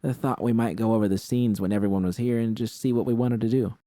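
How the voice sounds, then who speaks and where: A man's voice: fairly low pitch, fairly even intonation, quick pace, dark tone, dry recording, voice pushed up, close to an everyday voice. A man voicing an earnest young woman technician, nervous but professional, hesitant and accommodating.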